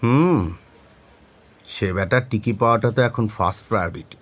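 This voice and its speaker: A man's voice: on the low side, moderate pace, natural voice. A male actor playing a skeptical police superior in a crime audio drama, with dry, sardonic authority. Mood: dry, pressing.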